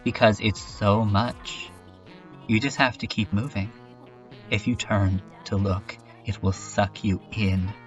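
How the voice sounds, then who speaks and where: A man playing a haunted, weary survivor who narrates a lonely horror story in a brooding, introspective, halting way for a fan dub. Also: fairly low pitch, fairly even intonation, distant mic, dull audio, faint music behind. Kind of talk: dramatic first-person narration in a fan dub.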